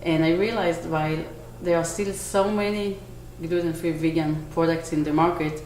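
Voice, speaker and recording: A woman in her forties from Israel with an Israeli accent, a bakery owner answering interview questions earnestly, pausing to search for words. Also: low-pitched, steady tone, a little mellow. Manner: earnest, with small restarts and searching pauses. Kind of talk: on-camera interview. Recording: echoey room, faint noise.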